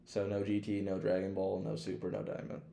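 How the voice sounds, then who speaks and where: Low, gravelly-voiced man chatting casually. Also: completely flat delivery, fairly quick, warm tone, reverberant, slight background noise. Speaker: podcast co-host. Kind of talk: casual chat, profanity-laden.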